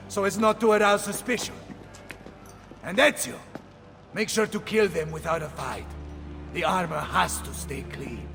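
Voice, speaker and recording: An actor playing a hot-blooded, theatrical Italian mercenary captain with an Italian accent, bombastic and volatile, in a video game cutscene. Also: male voice, high-pitched, creaky, fairly bright tone, distant mic, heavily acted. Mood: conspiratorial, commanding. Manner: bombastic, swearing, emotionally volatile. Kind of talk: dramatic game cutscene acting.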